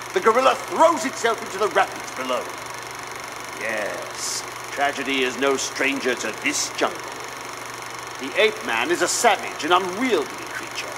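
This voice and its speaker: An actor playing a pompous British gentleman explorer, theatrically narrating his jungle film like an old-fashioned documentary. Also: high voice. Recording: noisy old film reel with projector hum.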